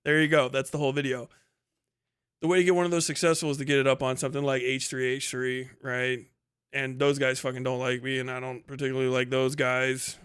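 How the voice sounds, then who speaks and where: A man's voice: mid-range voice, little pitch movement, brisk, a bit bright, clear, resonant tone. A man hosting a casual, unscripted livestream commentary, rambling along in slangy, profanity-laced talk. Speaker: livestream host.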